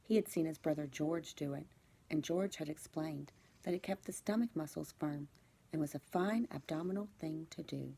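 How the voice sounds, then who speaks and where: Low-voiced woman reading a children's storybook. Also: normal speed, creaky, warm tone, a little hiss or hum. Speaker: second-grade teacher reading aloud.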